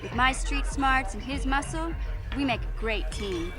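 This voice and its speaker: A woman's voice: sits high, animated, a little slow, music in the background. A woman playing a spunky, streetwise teenage alien girl in a video game, talking in a slangy, cocky, chatty way.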